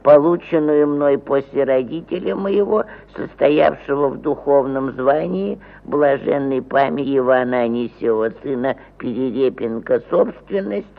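A man's voice: medium pitch, measured pace, vocal fry, few pauses. An actor playing a pompous, aggrieved provincial landowner who ponderously reads out his petition in a theatrical radio play style. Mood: indignant, pompous. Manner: pompous, ponderous, with stumbles over words. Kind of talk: theatrical mid-century radio play acting. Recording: phone-like narrow-band old 1950 radio recording.

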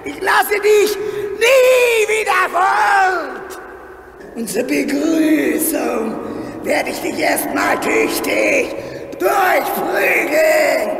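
A man playing a cruel, bullying female dragon schoolmistress in a children's radio play, with a gravelly, screechy voice and a mocking, sneering, shouting manner. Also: extremely high pitch, very animated, very slow, a crowd in the background, full character voice. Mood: menacing, furious. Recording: echoey hall, noisy radio play recording.

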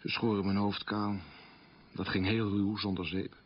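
A man's voice: fairly low pitch, flat delivery, unhurried, husky, muffled recording. An actor playing a proud, wounded man recalling a humiliating wartime childhood in a restrained radio monologue. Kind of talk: radio drama monologue, confessional storytelling.

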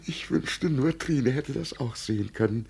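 A man's voice: mid-range voice, measured pace, gravelly, darkish tone, slightly rough, exaggerated voice. An actor playing an old man who believes he is an emperor, speaking with solemn, tremulous grandeur in a vintage radio drama. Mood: proud, dreamy. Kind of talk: 1950 German radio drama acting. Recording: noisy vintage 1950 radio recording.

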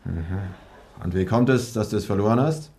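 A man's voice: on the low side, moderate pace, darkish tone, natural voice. An actor playing a menacing, sardonic male gangster in a 1960s radio crime drama. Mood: interrogating. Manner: mocking, bullying, dry sarcasm.

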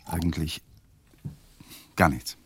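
An actor playing a lawyer and husband with a quiet, restrained, husky manner in a radio play. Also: male voice, a bass voice, fairly even intonation, takes it slowly, a little hiss or hum, heavily acted.

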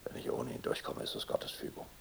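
An actor playing a gruff, stubborn ship's captain in a radio play, gravelly and husky, giving curt orders. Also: male voice, a little fast, a little hiss or hum, natural voice. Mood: stern, weary. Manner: curt, barking orders, swearing. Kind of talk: radio drama acting.

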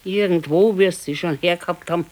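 Actress as a low-voiced old Bavarian wife. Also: female voice, a little fast, darkish tone, natural voice. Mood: reminiscing. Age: older. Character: plain-spoken ageing wife, former servant girl, nagging. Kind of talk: naturalistic radio drama acting.

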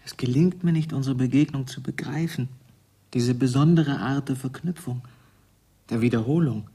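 A very husky, rough-edged man of middling pitch, troubled and bewildered. He speaks in ponderous, searching, formal phrases, in the manner of a literary German radio play. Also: mellow. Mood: tender, puzzled. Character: troubled, bewildered grown man meeting his younger double. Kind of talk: German radio drama acting.

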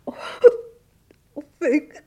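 A woman's voice: drawn-out words, dark tone. An actress playing a distraught, grief-stricken wife and mother in a 1960s German radio crime drama. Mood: shocked grief.

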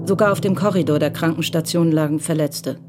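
A woman narrating a radio crime drama in a calm, measured storytelling voice. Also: low-pitched, over loud music. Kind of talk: scripted audiobook-style narration.

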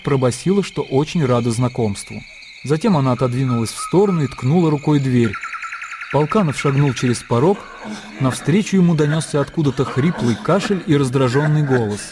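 A man narrating a literary radio drama in a measured storytelling manner. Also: mid-range voice, noisy background.

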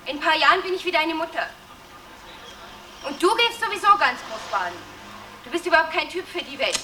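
A woman's voice: sits high, lively intonation, fairly quick. An actress playing a defiant, streetwise young woman with coarse slangy talk, desperate and mocking, in a gritty radio drama. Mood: bitter, resigned. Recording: distant, over loud background noise.

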